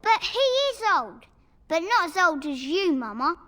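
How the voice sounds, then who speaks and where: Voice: very high-pitched, very animated, a little slow, bright, forceful tone, heavily acted. An actor voicing an eager, playful and curious small boy in a video game. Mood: innocent, curious.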